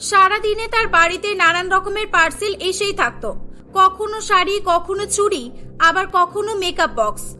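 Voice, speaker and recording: A woman's voice: very high voice, normal speed, sharp tone. A woman narrating a scripted cartoon story as its storyteller. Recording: voice over prominent background music.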